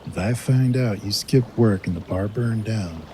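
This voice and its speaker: A man's voice: fairly low pitch, measured pace, warm tone, natural voice. A man playing a cautious but affectionate character, understated in delivery, in an audio drama. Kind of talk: naturalistic audio drama acting. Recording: clear ambient noise behind.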